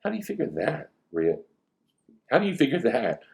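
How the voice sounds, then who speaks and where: A man's voice: mid-range voice, huge pitch swings, normal speed, creaky, pitched above the performer's own voice. A man reading the storybook dialogue of a grumbling, exasperated schoolboy spy who leads a team. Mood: reluctant, puzzled. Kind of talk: storybook read-aloud dialogue.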